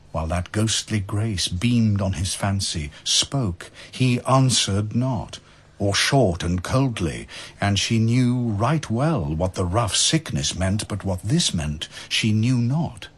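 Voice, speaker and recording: Slow, husky low-voiced male narrator. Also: lively intonation. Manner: measured, solemn, literary reading. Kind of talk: scripted verse narration, dramatic storytelling.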